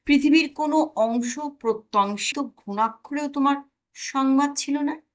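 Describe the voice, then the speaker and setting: An exasperated protagonist from a comic audio story, played by a woman, sarcastic and indignant. The voice is fairly high and takes its time over each wry complaint. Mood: mocking. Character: exasperated, sarcastic narrator confronted by own conscience.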